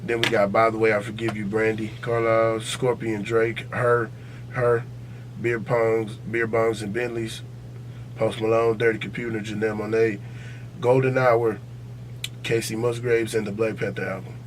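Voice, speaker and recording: A man's voice: fairly low pitch, little pitch movement, normal speed, a little hiss or hum. An American man hosting a casual podcast debate, talking in a slangy, profane, insistent and opinionated way. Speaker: podcast host.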